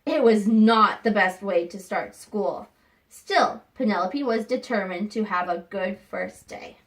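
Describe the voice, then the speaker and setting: A woman teacher reading a picture book aloud to young students, expressive and pausing to think aloud with questions for them. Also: medium pitch, low-quality recording. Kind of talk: read-aloud lesson with questions to children.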